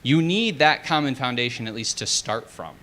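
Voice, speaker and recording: A man giving a conference talk and taking questions from the audience, direct and energetic. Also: mid-range voice, normal speed. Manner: direct, energetic, asks the room. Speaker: conference speaker.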